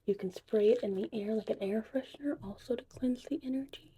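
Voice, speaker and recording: A woman whispering softly and breathily in an unhurried, chatty ASMR witch potion tutorial roleplay. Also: on the high side, breathy edge, low-quality recording. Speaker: ASMR host.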